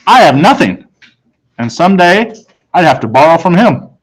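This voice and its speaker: A man's voice: a little high, big pitch swings, normal speed, breathy edge. Man reading a storybook aloud. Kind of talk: read-aloud storybook narration.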